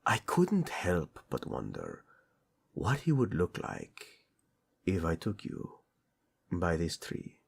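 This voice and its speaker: A man playing a doting, teasing tyrant prince in a romantic audio roleplay, flirtatious and tender with a husky delivery. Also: sits low, huge pitch swings, slow, pauses often, full character voice. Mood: playful, flirtatious.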